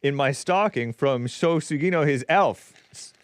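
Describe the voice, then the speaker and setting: A man's voice: on the high side, unhurried, no room sound. A man hosting a call-in show, laid-back and laughing easily. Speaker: host. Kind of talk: casual call-in talk.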